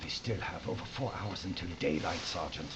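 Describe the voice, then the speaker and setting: An actor playing a treacherous camp officer in an audio drama, knowing and wry. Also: male voice, deep voice, brisk, a bit bright. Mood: sly. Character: sly, treacherous German Nazi camp officer. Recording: noisy, muffled lo-fi recording.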